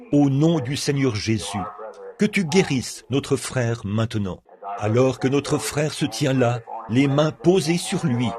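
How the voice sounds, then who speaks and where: A hoarse man speaking as a preacher, with an unhurried, homely pastoral manner. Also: medium pitch. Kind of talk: French dub of a church sermon.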